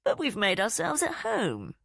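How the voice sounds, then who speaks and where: A woman voicing a calm, menacing male villain who speaks with mocking politeness in an audiobook. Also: on the high side, unhurried, vocal fry, dry recording, clean studio sound, pitched above the performer's own voice, full character voice. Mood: taunting.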